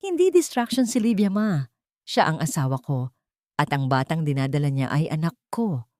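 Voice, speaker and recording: A woman's voice: sits low, big pitch swings, normal speed, a little mellow, no room sound, studio quality. A woman voicing a bitter adult son who speaks confrontationally in an audio story. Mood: defiant. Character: bitter, defiant adult son standing up to his mother.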